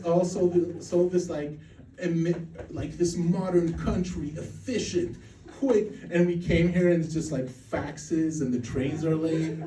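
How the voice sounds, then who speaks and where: A man's voice: high voice, mellow, a little hiss or hum. A man in his forties from Poland doing a stand-up routine, rambling and self-mocking, with crude punchlines and lots of restarts. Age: 40s. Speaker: stand-up comedian. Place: comedy club stage. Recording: roomy venue sound from a distance.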